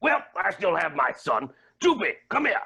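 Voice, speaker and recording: A smug billionaire villain, acted by a man, grand and theatrical. The voice sits high, with gravelly creak and wildly animated pitch, in a comic stage-reading style. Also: moderate pace, exaggerated voice. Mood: smug, pompous. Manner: pompous, grandiose.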